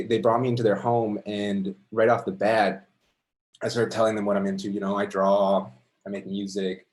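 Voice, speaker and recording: A man telling an unscripted personal story to an audience in a halting, conversational, reflective way. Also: on the low side. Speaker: amateur storyteller at a story slam.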